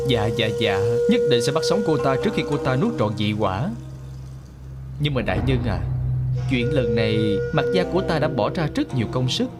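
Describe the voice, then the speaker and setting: A man's voice: mid-range voice, natural voice. A man playing an arrogant, greedy, scheming clan leader in a fantasy drama dub, with a theatrical, sneering delivery. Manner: theatrical, menacing, sneering, gloating. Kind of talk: Vietnamese-style fantasy drama dubbing. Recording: voice over background music.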